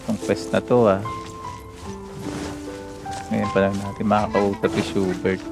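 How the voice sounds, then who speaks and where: A Filipino-accented man livestreaming a game in a casual, self-directed mutter. His voice is fairly low with noticeable creak, and game music plays clearly behind him. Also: flat delivery, normal speed. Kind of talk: casual live gameplay commentary.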